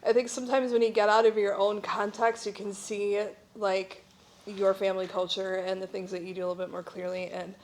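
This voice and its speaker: Conversational woman presenting at a church session. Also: medium pitch. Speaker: missionary speaker at a church breakout session. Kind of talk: informal conference presentation.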